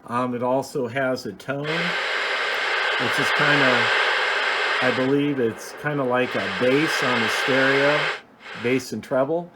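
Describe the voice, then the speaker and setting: A man's voice: medium pitch, fairly even intonation, takes it slowly. A man giving a casual, unscripted show-and-tell as a hobbyist host, explaining things in a rambling, plain-spoken way. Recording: clear background noise.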